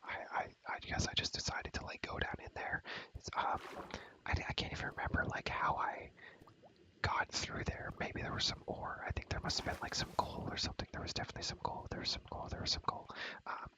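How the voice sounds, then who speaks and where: A young man speaks in a breathy, unvoiced whisper, as in ASMR. He rambles casually through gameplay commentary with slangy wording, often repeating a phrase several times over. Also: a little fast, rough recording. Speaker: ASMR video creator, gamer.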